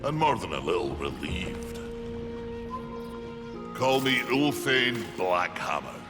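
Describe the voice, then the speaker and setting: An actor playing a huge, gruff, honourable blacksmith giant in a video game. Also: male voice, sing-song, slow pace, exaggerated voice. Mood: gruff, steady. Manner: gruff, hearty, weighty. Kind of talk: video game cutscene acting. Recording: long booming reverb, music behind.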